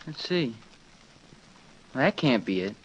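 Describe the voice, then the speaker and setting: A man's voice: medium pitch, very animated, a little slow, husky. A young male actor playing an earnest, helpful teenage son in a radio family comedy, speaking matter-of-factly. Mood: helpful, candid. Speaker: sitcom son. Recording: very noisy, muffled vintage radio recording.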